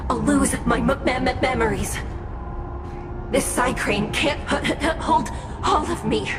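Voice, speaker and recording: A woman voicing a timid mechanical bird that houses a girl's spirit in a game, with a halting, glitchy stutter. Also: sits high. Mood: halting, anxious. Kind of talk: dramatic video game dialogue. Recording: loud music behind the voice.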